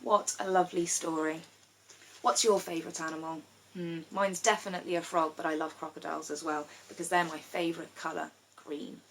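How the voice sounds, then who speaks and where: A woman storyteller giving an expressive reading of a rhyming children's storybook. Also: lowish voice, fairly quick, a little hiss or hum, rough recording.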